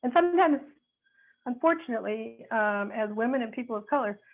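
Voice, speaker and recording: Woman, 60s, attorney, from the Midwest, earnest and frank, gravelly, tinny phone-like sound. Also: a little high, lively intonation, a little fast. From North Chicago, USA. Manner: earnest, searching for words, frank. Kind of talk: reflective advice talk.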